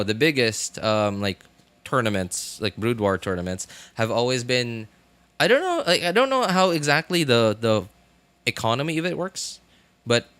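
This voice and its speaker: A man in his thirties chatting casually on a livestream, rambling and haltingly thinking aloud. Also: medium pitch, a bit bright, smooth, no room sound.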